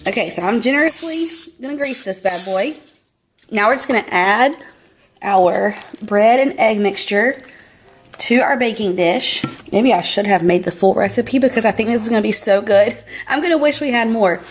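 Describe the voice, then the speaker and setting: A young woman with a cold-roughened, scratchy voice, chatting brightly through a home cooking tutorial. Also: mid-range voice, expressive, normal speed. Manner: chatty, bubbly, peppered with "like". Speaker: cooking vlogger. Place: home kitchen.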